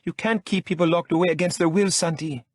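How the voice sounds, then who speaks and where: A defiant young woman pushes back in a strained, raised voice, as a male narrator puts her on. Her tone is husky, sitting dry and close to the mic. She protests and pleads in turns, stubborn and exasperated. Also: on the high side, steady tone, fast talker, dry recording, pitched above the performer's own voice, lightly acted. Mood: angry. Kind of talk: audiobook character voice.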